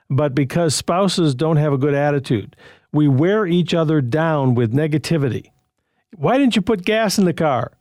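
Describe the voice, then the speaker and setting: A man's voice: on the high side, a little mellow, dry recording, clean studio sound. A man hosting a call-in advice show on the radio, talking in a loose, conversational way and chuckling often. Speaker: radio host.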